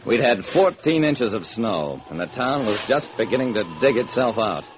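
A man's voice: medium pitch, expressive, normal speed, natural voice. An actor playing a hard-boiled private detective, narrating his case with dramatic, wry deliberation in old-time radio style. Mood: wry storytelling. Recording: noisy old radio recording.

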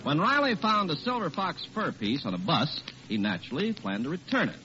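An American man works as a vintage radio announcer. His fairly high voice rises and falls dramatically, on a muffled old recording with faint noise. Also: big pitch swings, fairly quick, over music. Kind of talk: 1940s radio announcing and ad reading.

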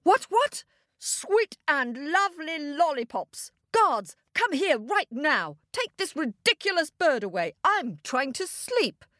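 A woman's voice: high-pitched, big pitch swings, measured pace, no room sound, clean studio sound, pitched above the performer's own voice. A woman voicing a pompous, petulant king in a children's story, exclaiming imperiously. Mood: outraged.

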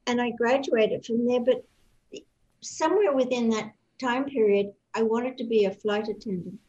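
An older Australian woman with a slightly high voice reminisces as an interview guest, wandering through her stories with fillers and restarts. Her voice comes over dull, lo-fi online call audio. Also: moderate pace, a little mellow. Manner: rambling storyteller with many fillers and restarts.

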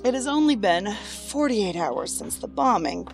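An actress playing a weary, dry-witted federal agent in an audio drama. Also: female voice, medium pitch, very animated, normal speed, gravelly, faint music behind. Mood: matter-of-fact.

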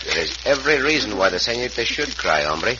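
A suave Mexican hero of a vintage radio western. His mid-pitched voice moves expressively through courtly, formal phrases with a Mexican accent. The sound is dull, with clear hiss. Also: very animated, fast. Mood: composed. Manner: courtly, formal diction without contractions. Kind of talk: old-time radio western acting.

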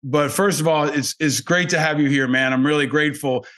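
A man's voice: fairly high pitch, steady tone, brisk, fairly bright tone. A man hosting a podcast interview in an informal, effusive way, with casual language. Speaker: podcast host.

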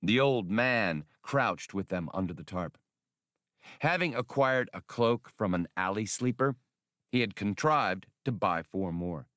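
Animated male audiobook narrator, low-voiced and dry. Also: no echo. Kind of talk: scripted narration of an adventure novel.

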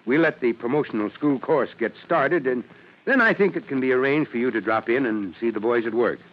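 A man's voice: mid-range voice, animated, brisk, lightly acted. An actor playing a genial, authoritative fire chief in an old-time radio drama, courteous to his guest. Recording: muffled, noisy old radio recording.

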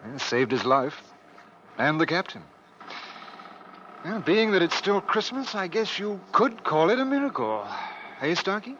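An actor playing a hearty, reassuring ship's officer with a brisk storytelling manner in an old radio drama. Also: male voice, sits high, sing-song, a bit bright, exaggerated voice. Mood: wondering. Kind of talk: 1950s radio drama acting. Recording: muffled old radio recording, faint noise.

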